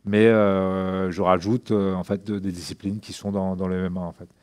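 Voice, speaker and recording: A middle-aged man from Réunion speaks in a very low, monotone voice. He answers in halting phrases, filling pauses and restarting often as he tells his story. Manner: halting, many fillers and restarts. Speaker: MMA coach and former champion. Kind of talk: interview.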